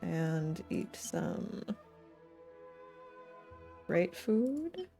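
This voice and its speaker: A woman's voice: on the low side, huge pitch swings, extremely slow, creaky, dark tone. Playful rambling woman streamer over game music. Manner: playful, rambling, thinking aloud, silly slang. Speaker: livestreamer. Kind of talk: casual gaming stream chat.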